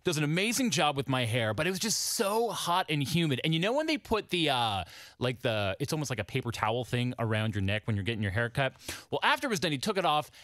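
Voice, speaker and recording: A man hosts morning radio with a bright, forceful voice that swings up and down in pitch. He leans on key words and repeats them for emphasis. The sound is close and dry, straight into a studio microphone. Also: big pitch swings, fast talker, no echo. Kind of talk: upbeat commercial radio DJ patter. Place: small radio studio indoors. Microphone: close studio broadcast microphone.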